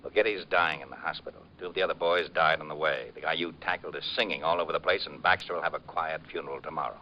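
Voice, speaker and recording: A police lieutenant in a vintage radio crime show. His voice is deep and gruff, his delivery clipped and all business. The recording has the dull, muffled sound of an old broadcast. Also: quick pace. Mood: matter-of-fact. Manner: clipped, matter-of-fact. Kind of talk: old-time radio crime drama acting.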